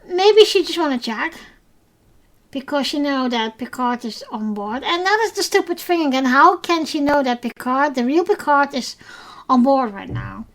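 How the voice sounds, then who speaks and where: A woman's voice: sits high, lively intonation, moderate pace. A woman with a Dutch accent, a native Dutch speaker, hosting a solo podcast that recaps episodes, talking with many fillers and restarts. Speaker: podcast host.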